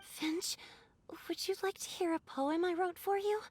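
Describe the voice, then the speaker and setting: An actress playing a gentle, wistful water fairy in a video game, speaking softly and earnestly. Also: female voice, extremely high pitch, fairly even intonation, a little slow, slight background noise. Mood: tender. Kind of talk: video game cutscene acting.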